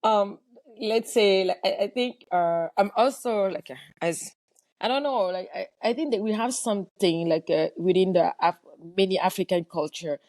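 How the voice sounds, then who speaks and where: A woman's voice: mid-range voice, moderate pace, darkish tone, dry recording. A woman, a podcast guest talking in an earnest, hesitant way, often restarting and searching for words. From Cameroon. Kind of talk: casual interview talk.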